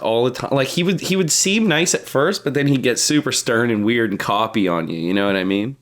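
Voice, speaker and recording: Male podcast co-host, average pitch, casual comedic chat with crude, sweary joking. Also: a little fast. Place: remote podcast call.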